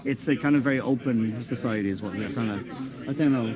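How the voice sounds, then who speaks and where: A man's voice: mid-range voice, quick pace. Thoughtful Dublin man over pub chatter. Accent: Irish, Dublin. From Dublin, Ireland. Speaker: band member interviewed. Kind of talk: casual interview answers. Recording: pub crowd chatter behind.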